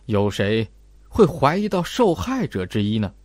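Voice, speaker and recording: A man's voice: mid-range voice, wildly swooping pitch, measured pace, no echo, voice pushed down. A man voicing a cold, methodical investigator in an audiobook, laying out an accusation. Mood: accusing. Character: cold, probing male investigator laying out evidence. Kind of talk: audiobook character acting.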